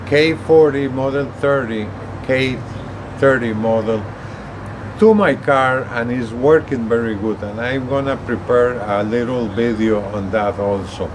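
Man in his sixties, unhurried pace, halting delivery with repeated words, casual explainer, outdoor recording, light background noise. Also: medium pitch. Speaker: gadget reviewer. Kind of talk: casual explanatory vlog talk. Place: outdoors on an apartment balcony. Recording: steady outdoor ambient noise.

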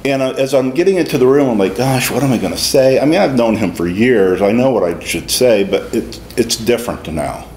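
A man's voice: mid-range voice, expressive, brisk, few pauses. Conversational man preaching in an echoey church. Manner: warm conversational preaching, folksy asides. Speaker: deacon preaching a homily. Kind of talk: sermon. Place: small stone-walled church sanctuary. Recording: echoey church with faint room noise.

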